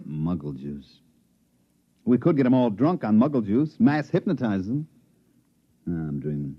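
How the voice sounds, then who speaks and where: A man's voice: lowish voice, big pitch swings, measured pace, pauses often, a little hiss or hum. An actor playing a world-weary male swindler who narrates a 1950s radio play, with a drawling, sighing delivery. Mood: gloomy. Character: weary, wisecracking male swindler, middle-aged. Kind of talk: 1950s radio drama acting. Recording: dull vintage radio broadcast.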